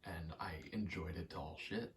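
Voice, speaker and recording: A young man in a casual, halting ASMR ramble, speaking softly and often slipping toward a whisper, with a self-deprecating, sweary manner. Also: sits low, steady tone, slight background noise. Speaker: ASMR creator. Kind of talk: rambling ASMR, casual personal chat while gaming.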